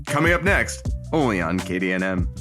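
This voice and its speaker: A man's voice: low-pitched, sing-song, fairly quick, over music. A man playing an upbeat radio announcer reading an absurd promo in a comic audio drama. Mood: showy, upbeat.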